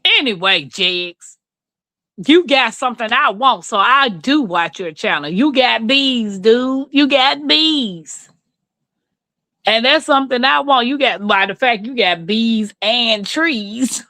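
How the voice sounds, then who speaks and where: Unhurried bright-voiced Southern woman. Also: on the high side, no room sound. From Mississippi, rural southern United States. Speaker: livestream host. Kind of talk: casual livestream chat answering viewers.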